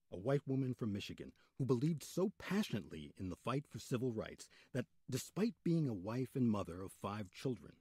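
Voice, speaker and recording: A man hosting a radio program, reading scripted historical narration with deliberate, dramatic emphasis. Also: medium pitch, mellow, breathy edge, dry recording.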